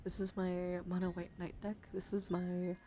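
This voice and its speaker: Female ASMR streamer, soft and soothing, drifting into whispers, close-miked with clear background noise. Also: on the low side, little pitch movement, moderate pace. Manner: soft-spoken, often dropping into whispers; gentle, soothing, encouraging. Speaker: livestream host showing trading cards. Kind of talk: ASMR, soft casual chat.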